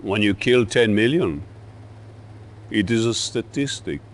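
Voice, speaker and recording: An actor playing an older Soviet dictator in a video game, ominous and deliberate. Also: male voice, lowish voice, expressive. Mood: grandiose, ominous. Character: menacing Soviet dictator, older man, boastful and theatrical. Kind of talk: video game cutscene acting.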